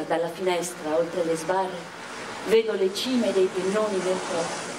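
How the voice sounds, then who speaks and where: An actress playing a proud, unrepentant woman revolutionary awaiting execution in prison, in a measured, literary radio-theatre monologue with deliberate pauses. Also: mid-range voice, noisy background, close to an everyday voice. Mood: wistful, reflective.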